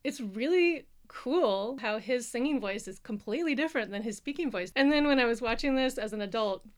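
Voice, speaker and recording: Playful woman, a bit high. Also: lively intonation, fairly quick. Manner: playful, laughs often. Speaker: podcast co-host, pattern maker. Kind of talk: casual chat.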